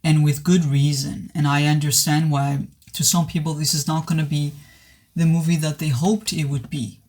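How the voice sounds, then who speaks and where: Male reviewer, pitch a bit high, voice worn from sickness, sincere and stop-start. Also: flat delivery, moderate pace, darkish tone. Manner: hesitant, many restarts, earnest. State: voice worn from recent illness. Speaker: movie reviewer. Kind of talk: casual spoken film review.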